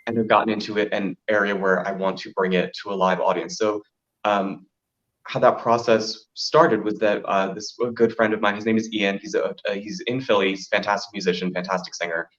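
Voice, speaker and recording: A man with a deep voice telling stories casually, as an interview guest who performs in drag. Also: flat delivery, a little fast, fairly bright tone. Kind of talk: casual interview chat, storytelling. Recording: dull, lo-fi audio.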